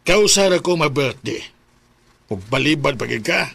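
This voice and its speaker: A man playing an older, predatory, insistent drinking uncle in a Bisaya radio drama, with a gravelly voice and Cebuano Filipino accent. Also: fairly high pitch, animated, moderate pace, fairly bright tone, heavily acted. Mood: pressing. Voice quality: gravelly, raspy.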